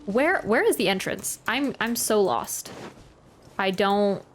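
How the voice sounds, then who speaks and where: A woman's voice: mid-range voice, animated, slow pace, soft background music. A woman giving casual gameplay commentary, chatty and rambling, often interrupting herself mid-sentence. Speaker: streamer reacting to a video game.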